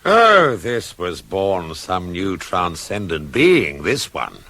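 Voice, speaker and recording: A man's voice: deep voice, very animated, slow, bright, forceful tone, no room sound, full character voice. An actor playing a wrathful, bitter older king and father, scornful, imperious and declamatory, in a classical tragedy for radio. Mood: bitter sarcasm.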